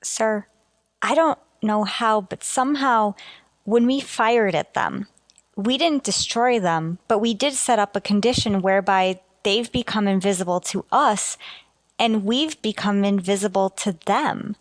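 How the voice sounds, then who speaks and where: A woman playing a dutiful, earnest starship officer in a radio sci-fi drama, deferential and deliberate. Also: medium pitch, dry recording, natural voice. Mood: anxious. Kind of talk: golden age radio sci-fi drama acting.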